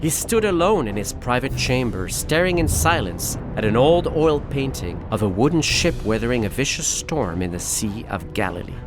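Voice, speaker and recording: A man's voice: medium pitch, very animated, moderate pace, few pauses, music in the background. A man delivering scripted narration and announcements for an audio drama.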